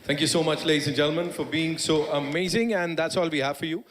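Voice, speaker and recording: A male emcee runs a press event in an upbeat, formal tone. His voice sits slightly high for a man, and a long hall reverb trails after every phrase. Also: fast talker, a bit bright, a little hiss or hum. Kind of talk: event hosting.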